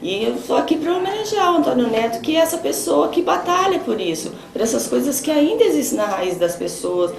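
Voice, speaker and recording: A woman's voice: high voice, sing-song, a little fast, gravelly, hardly any pauses, crowd noise. A middle-aged Brazilian woman giving an informal spoken testimonial. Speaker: theatre performer giving a tribute. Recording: echoey room, faint noise.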